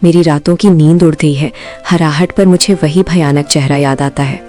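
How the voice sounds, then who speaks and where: An anxious wife from a cartoon story, played by a woman. Her voice is very low for a female and full of worry. Music plays softly behind. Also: fairly even intonation, moderate pace, lightly acted. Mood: fearful. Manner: worried. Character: anxious, fearful woodcutter's wife. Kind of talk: Hindi cartoon moral-story acting.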